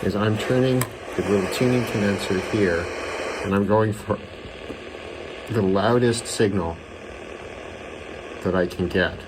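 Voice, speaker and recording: Low-voiced man over loud noise. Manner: unhurried, thinking aloud. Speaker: radio hobbyist presenter. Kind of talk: casual hands-on demonstration. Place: indoors by a window.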